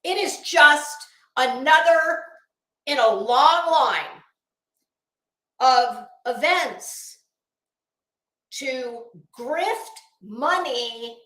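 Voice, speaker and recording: Female livestream host: high, bright voice, unhurried delivery, scornful and sarcastic, casual commentary straight to viewers, echoey room. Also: lively intonation. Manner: blunt, sarcastic, scornful, swearing freely.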